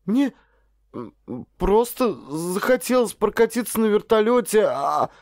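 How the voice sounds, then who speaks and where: A man voicing a flustered, defensive young woman with halting speech in an audiobook. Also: high voice, lively intonation, a little mellow, dry recording, clean studio sound, voice pushed up. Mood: annoyed, defensive. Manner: halting, stammering protests. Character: young woman Valya, tearful, defensive polar weather observer.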